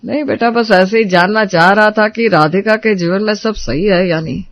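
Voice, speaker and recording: A man playing an affectionate, reassuring middle-aged father in a Hindi family drama, speaking gently to his family. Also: sits high, moderate pace, dry recording. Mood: concerned.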